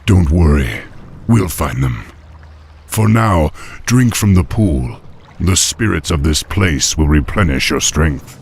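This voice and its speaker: An actor playing a noble, resolute young orc war chief in a fantasy video game, speaking in a deep, gravelly, measured and commanding way. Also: male voice, expressive, slight background noise, full character voice. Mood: reassuring.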